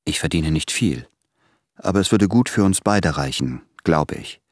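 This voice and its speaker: An actor playing an earnest, insecure man in love in a radio play, speaking carefully and haltingly. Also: rumbling low, expressive, darkish tone, full character voice. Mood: tender hope. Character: earnest, insecure lover pleading with his beloved. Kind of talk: intimate German radio play dialogue.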